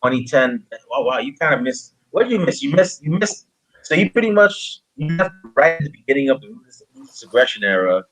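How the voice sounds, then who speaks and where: A man from Guyana hosting a casual interview, speaking haltingly and often repeating phrases as he goes. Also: on the high side, big pitch swings, fairly bright tone, faint music behind, rough recording. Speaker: podcast interviewer. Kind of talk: casual chat.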